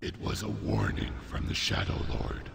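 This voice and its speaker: An actor playing a grave, solemn storyteller in a fantasy game cutscene, speaking in a portentous, measured, gravelly way with a reverberant echo. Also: male voice, lightly acted. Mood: grave, urgent. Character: grave male fantasy narrator, solemn war-council storyteller. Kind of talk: dramatic scripted game cutscene narration. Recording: reverberant hall echo, faint noise.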